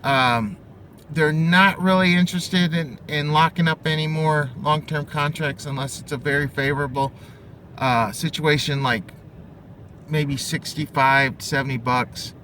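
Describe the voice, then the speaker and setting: A man giving an unscripted investing opinion in a halting, thinking-aloud way, as an amateur commentator. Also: on the high side, fairly even intonation, sharp tone. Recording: clearly noisy, vehicle and outdoor-like background.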